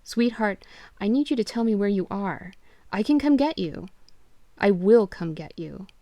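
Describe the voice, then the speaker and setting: A woman's voice: mid-range voice, normal speed, a little mellow, dry recording, natural voice. A woman voicing a frightened husband and father who urgently pleads down a phone line. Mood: pleading.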